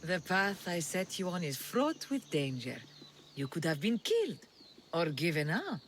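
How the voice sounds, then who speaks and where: A reverent huntress priestess. Her voice is low yet swoops expressively, stately and poetic. It is game drama with light outdoor ambience behind. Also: big pitch swings, a little slow, a little hiss or hum. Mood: relieved, teasing. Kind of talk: video game cutscene acting.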